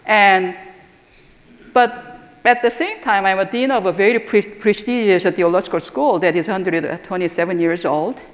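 A middle-aged woman from Korea with a Korean accent, a theological school dean giving an earnest, reflective talk and often pausing with "uh". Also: medium pitch. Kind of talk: personal talk or lecture. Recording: echoey hall with long reverb.

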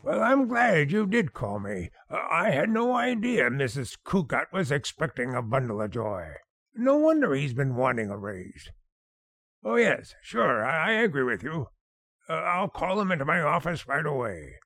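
An actor playing a blustering, fatherly older boss in a radio sitcom, gravelly and husky, stammering and repeating himself. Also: fairly high pitch, very animated, normal speed, fairly bright tone, no echo, clean studio sound. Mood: jovial, pleased.

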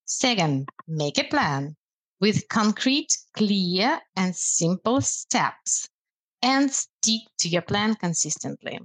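Slow, lively-pitched woman, Russian native. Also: no room sound, low-quality recording. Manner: measured, explanatory, with some hesitant fillers. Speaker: language lecturer and podcast co-host. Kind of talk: podcast conversation about language learning.

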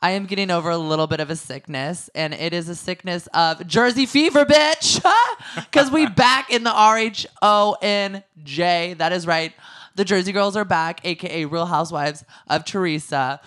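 A man's voice: high-pitched, normal speed, pushed and bright. A man hosting a casual podcast, campy and sassy, his voice a little scratchy from being sick. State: slightly hoarse. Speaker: podcast host. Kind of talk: casual chat.

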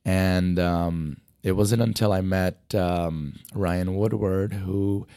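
A man's voice: deep voice, steady tone, takes it slowly, mellow, clean tone, dry recording, studio quality. A middle-aged man from Hawaii who teaches motion design, answering interview questions in a rambling way with many fillers while losing his voice.

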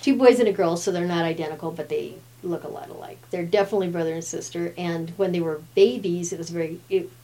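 A chatty woman in her fifties with a fairly low voice. She grew up in Brazil and speaks Portuguese as her first language, so she sounds like a relaxed guest at ease in a casual talk. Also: fast talker, few pauses, rough recording. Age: 50s. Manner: chatty, laughs often. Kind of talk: casual chat.

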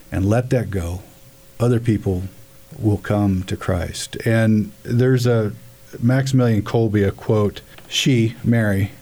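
A man speaking as a radio guest, giving an earnest testimony about prayer with halting speech and frequent restarts. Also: mid-range voice, dark tone.

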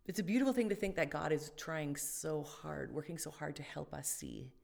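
Low, gravelly-voiced woman co-host. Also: expressive, normal speed, a little mellow. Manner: thoughtful, rambling with many restarts and fillers. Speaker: podcast co-host. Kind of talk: casual faith conversation.

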